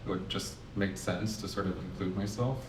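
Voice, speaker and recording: A man speaking as an artist on a gallery panel, nervous and halting with many fillers. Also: deep voice, steady tone, slight background noise. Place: art gallery. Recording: echoey gallery, mic far off.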